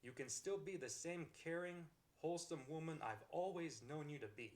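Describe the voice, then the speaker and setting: Guy acting as a sweet accepting boyfriend for asmr roleplay, voice a bit high, earnest n reassuring. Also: normal speed, darkish tone, lightly acted. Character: kind-hearted, devoted, accepting boyfriend comforting his girlfriend.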